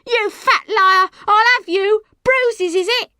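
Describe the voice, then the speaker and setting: A woman voicing a feisty, indignant working-class girl in an audiobook, shouting and jeering in slangy speech. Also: extremely high pitch, slow, dry recording, studio quality, pitched above the performer's own voice, exaggerated voice. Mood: screaming indignantly. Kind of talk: audiobook character acting.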